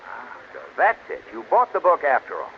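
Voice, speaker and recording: Animated, exasperated sitcom husband. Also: male voice, on the high side, brisk. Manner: exasperated, hesitant politeness turning to sharp scolding. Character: exasperated, sarcastic husband anxious to impress a guest. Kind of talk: old-time radio sitcom acting. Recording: muffled old radio recording, faint noise.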